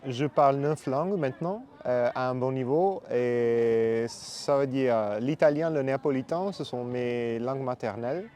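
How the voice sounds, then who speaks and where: A man in his thirties from Italy, interviewed casually, speaking calmly. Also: mid-range voice, normal speed, few pauses. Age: 30s. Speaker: guest.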